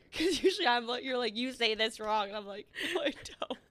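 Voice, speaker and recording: Lively, high-pitched woman podcaster. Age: late 20s. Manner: playful, teasing, quick laughs. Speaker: podcast co-host. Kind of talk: casual chat.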